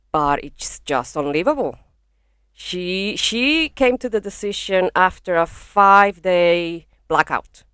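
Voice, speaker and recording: Venezuelan woman chatting on a pod, blunt and swears a lot, smooth clear voice, dead dry room. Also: fairly low pitch, a bit bright, no echo. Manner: frank, swears freely, laughs easily. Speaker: guest comedian. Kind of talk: casual podcast chat. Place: small home studio in a bedroom. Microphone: podcast microphone.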